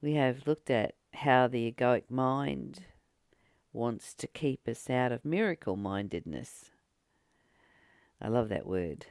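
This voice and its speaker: A woman leading a spiritual book study, reading aloud and reflecting in a deliberate, emphatic manner with pauses and repeated phrases. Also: extremely low pitch, a little mellow, dry recording, clean studio sound. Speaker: spiritual teacher and channel host.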